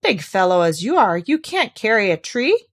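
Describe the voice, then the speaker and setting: A woman's voice: medium pitch, sing-song, normal speed. Woman reading as a cocky little tailor. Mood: breezy, teasing. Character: cocky, clever little tailor, male, boastful and cheerful. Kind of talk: read-aloud fairy tale.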